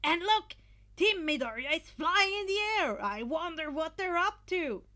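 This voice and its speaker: A woman voicing an excitable male sports announcer who calls out loudly in a hyped, drawn-out way for an anime fan story. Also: very high voice, pitched above the performer's own voice, heavily acted. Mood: hyped, screaming.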